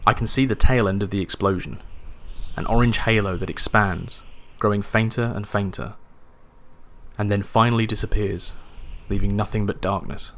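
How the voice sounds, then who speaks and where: A man reading a first-person science fiction horror story in a measured, dramatic storytelling manner. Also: lowish voice, steady tone, slight background noise. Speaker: audiobook narrator. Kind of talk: scripted first-person sci-fi horror narration. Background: atmospheric music and sound effects.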